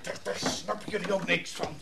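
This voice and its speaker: A man's voice: sits high, steady tone, normal speed, creaky, heavy background noise. An actor playing a sullen, defiant man, muttering and stubborn, in a radio drama. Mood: defiant, contemptuous.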